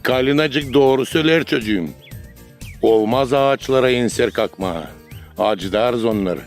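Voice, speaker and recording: A man's voice: medium pitch, moderate pace, faint music behind, natural voice. A man with a Gagauz accent playing a kindly, instructive grandfather in a children's cartoon. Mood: patient, instructive.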